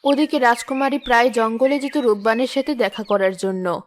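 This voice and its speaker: A woman's voice: on the high side, normal speed, close to an everyday voice. A woman reading scripted storybook narration for a fairy-tale cartoon. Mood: narrating.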